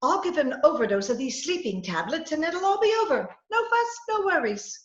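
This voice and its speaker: A woman's voice: sits high, big pitch swings, brisk. A woman playing a scheming, coaxing woman who poses as a poisoner gangster, with breezy melodramatic patter in a 1940s-style radio comedy. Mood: coaxing, nervous. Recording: roomy, slightly dull video-call recording.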